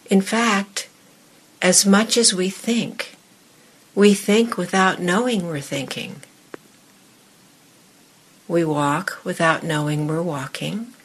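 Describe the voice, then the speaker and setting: Slow, husky woman leading guided meditation. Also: fairly low pitch, low-quality recording. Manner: measured, deliberate, with pauses between short phrases. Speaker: meditation teacher. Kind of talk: guided meditation and dharma talk to a group in the room.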